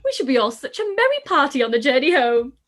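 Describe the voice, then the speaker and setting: A woman's voice: high voice, sing-song, fast talker, fairly bright tone. A woman playing a giddy, careless teenage girl who chatters in a live-read radio play. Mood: gossiping. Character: giddy, silly youngest sister, teenage girl.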